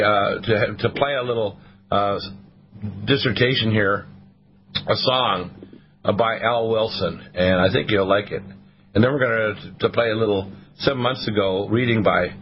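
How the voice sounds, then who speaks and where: A hoarse man hosting a talk radio show, reading a poem aloud and stopping often to restart his sentences. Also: on the low side. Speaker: host. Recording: dull lo-fi radio broadcast.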